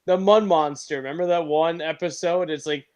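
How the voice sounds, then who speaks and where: A man's voice: a little high, fairly even intonation, moderate pace, darkish tone. A man talking casually as a co-host on a group podcast.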